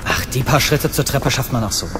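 A man's voice: medium pitch, fairly quick, noisy background, natural voice. A young actor playing an optimistic, reassuring young father in naturalistic audio drama dialogue. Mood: easygoing, calm. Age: young adult. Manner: reassuring, easygoing. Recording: studio audio drama with loud music underneath.

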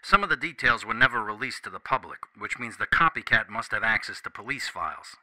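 An actor playing a police officer who brings bad news in a blunt, serious way. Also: male voice, on the low side, animated, fairly quick, pushed and bright, rough recording. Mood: grave.

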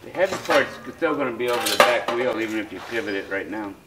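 A man's voice: mid-range voice, expressive, quick pace. A man chatting casually while working, in a brief, matter-of-fact way. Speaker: helper in the shop. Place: open shop doorway beside a trailer. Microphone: far camera. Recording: distant, very noisy workshop recording.

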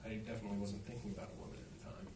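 A man's voice: lowish voice, little pitch movement, rapid-fire. Middle-aged man rambling on a cinema stage. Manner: rambling, anecdotal, with fillers. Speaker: actor and musician in a film Q&A. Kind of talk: post-screening Q&A answers. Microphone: handheld mic, recorded from far in the audience. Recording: echoey theater from far off, noisy.

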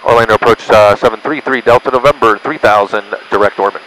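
A man's voice: on the low side, quick pace, a bit bright, rough recording. A man working as a pilot, making radio calls and explaining things to his passenger over a cockpit headset. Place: small propeller plane cockpit in flight. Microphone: aviation headset boom mic. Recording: headset intercom with engine and radio noise.

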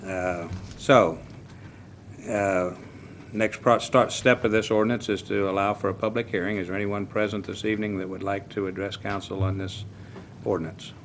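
A man's voice: fairly low pitch, level tone, slight background noise. A man acting as mayor, chairing a city council meeting and reading a formal proclamation. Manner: measured, reads prepared text then chats loosely. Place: council chamber.

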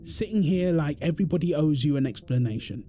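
Exasperated British husband, swooping pitch acted by a man. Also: lively intonation, a little fast, dark tone, slight background noise, close to an everyday voice. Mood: angry, fed up. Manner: indignant, emphatic, confrontational. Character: exasperated, protective husband arguing with his overbearing mother-in-law. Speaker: audio roleplay voice actor. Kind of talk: ASMR roleplay drama monologue.

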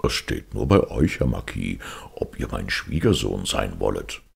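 Stately storybook king, voiced by a male reader: very deep, gravelly, courtly. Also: big pitch swings, unhurried, full character voice. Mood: gracious. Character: stately, pleased old-fashioned fairy-tale king.

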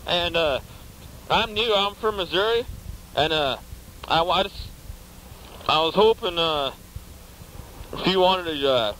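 A man making a prank phone call, rambling and halting in a mock-naive way. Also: high voice, fairly bright tone, slight background noise. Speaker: prank caller.

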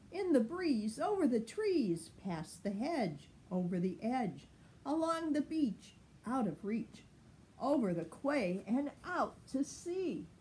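A woman's voice: high voice, darkish tone, a little hiss or hum, low-quality recording. A woman in her sixties reading a children's picture book expressively, lingering dramatically on words. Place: sunny room at home by large window.

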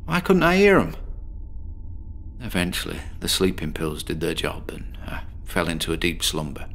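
A man's voice: low voice, wildly swooping pitch, normal speed, fairly bright tone, full character voice. An actor playing a lonely, downtrodden middle-aged man in a bedsit, in a radio drama, who talks in a rambling, digressive, self-deprecating way. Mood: weary. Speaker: patient in a horror audio drama. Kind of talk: first-person radio drama monologue.

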